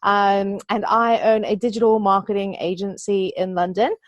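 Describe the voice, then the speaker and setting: A woman in her thirties speaks with a New Zealand accent, earnest and thinking aloud, with plenty of ums. Her pitch sits mid-range for a woman. The sound is close and dry, with no room around it. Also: flat delivery, normal speed, no room sound. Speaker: guest, digital marketing agency owner. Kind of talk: podcast interview.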